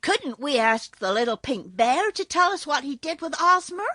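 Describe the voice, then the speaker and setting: A small, hopeful boy, played by a woman reading a storybook, high and pleading with lively rises. Close, dry sound. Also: moderate pace, no room sound, exaggerated voice.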